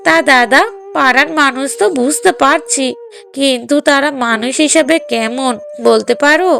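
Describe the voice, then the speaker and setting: A woman's voice: high-pitched, moderate pace, gravelly, fairly bright tone. A woman playing a middle-aged village mother in a cartoon drama, coaxing in a fretful, affectionate way. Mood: agreeable, inquisitive.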